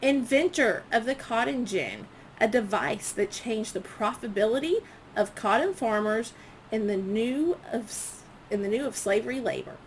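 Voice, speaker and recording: A woman's voice: a little high, expressive, normal speed, a little hiss or hum, rough recording. A woman history teacher reading quiz questions aloud to students, enunciating each prompt item by item in an instructional way.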